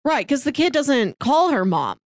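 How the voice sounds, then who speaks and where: A woman's voice: high-pitched, very animated, normal speed, dry recording, studio quality. A woman co-hosting a casual film discussion, chatty and often laughing as she talks. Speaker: podcast co-host.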